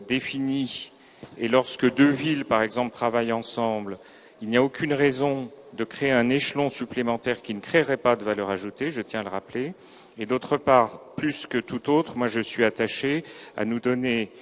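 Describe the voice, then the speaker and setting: A man giving a formal, measured panel speech as a local official at a seminar, choosing his words carefully. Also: mid-range voice. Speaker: local authority representative on a panel. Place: seminar venue, round table.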